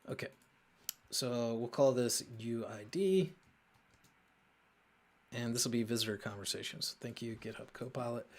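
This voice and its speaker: Man, slow halting delivery, mid-range pitch, casual coding host, thinking aloud, muttering to himself.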